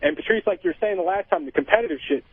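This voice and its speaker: A man's voice: high-pitched, expressive, rapid-fire, breathy edge, no room sound. Young male caller on a thin phone line. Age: 20s. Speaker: phone-in caller, military serviceman. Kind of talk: candid casual phone-in chat. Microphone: telephone. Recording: thin phone-line call to radio show.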